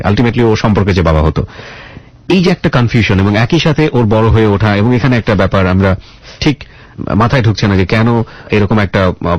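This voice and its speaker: A man with a Bangladeshi accent hosting a radio interview, deliberately repeating answers back as questions and explaining things to listeners. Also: fairly low pitch, no room sound, dull audio. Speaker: radio show host and interviewer. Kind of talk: probing interview with narration to listeners.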